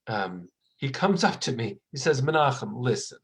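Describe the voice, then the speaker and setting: A man's voice: medium pitch, very animated, moderate pace, husky. A man in his forties, a rabbi and author, talking in a conversational interview and telling stories that act out the people he quotes. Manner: warm storytelling, acts out quotes.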